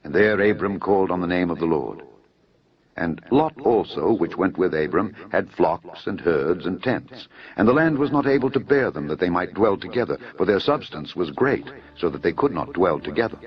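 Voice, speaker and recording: A man giving a dramatised scripture narration in a solemn, measured storytelling manner. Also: low-pitched, animated. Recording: dull lo-fi audio under music. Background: background music.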